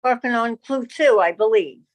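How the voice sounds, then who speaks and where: Woman, chatty, cheerful, slightly high pitch, video call. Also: a little fast. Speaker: sew-along participant. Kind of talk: casual self-introduction. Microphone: video call mic.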